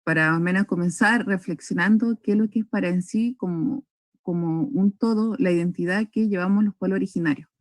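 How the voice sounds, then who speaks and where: Dry, measured young woman speaker. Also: on the low side, dry recording. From northern Chile. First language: Aymara. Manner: measured, with frequent hesitations and restarts. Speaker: invited speaker at a cultural forum. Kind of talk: formal talk.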